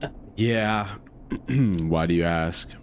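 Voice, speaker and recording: A man's voice: low voice, drawn-out words, a little hiss or hum. A man giving casual gameplay commentary, slangy and musing to himself as he reacts. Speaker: game streamer.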